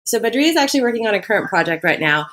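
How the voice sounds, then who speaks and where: A woman's voice: fairly high pitch, very animated, very fast, fairly bright tone. A young woman co-founder talking frankly and passionately in casual conversation, swearing now and then. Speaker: co-founder of the platform.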